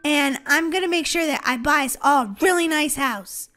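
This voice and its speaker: A woman's voice: sits high, normal speed, bright, forceful tone, faint music behind. A woman voicing a sweet, earnest young girl who loves her family, in a game roleplay story. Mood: dreamy, proud.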